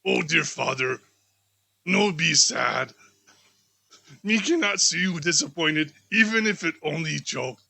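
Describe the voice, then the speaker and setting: Man putting on a gravelly, earnest, gentle daughter. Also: fairly high pitch, expressive, slow pace, pauses often, full character voice. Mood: consoling. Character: gentle, dutiful grown daughter, Martha. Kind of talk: theatrical storybook reading.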